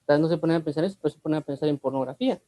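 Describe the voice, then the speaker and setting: Preacher, Guatemalan accent, man, conversational and repetitive, warm tone, no room sound. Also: on the high side, racing, no echo. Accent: Guatemalan Spanish. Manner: conversational, repeats himself, asks rhetorical questions. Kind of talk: Bible teaching.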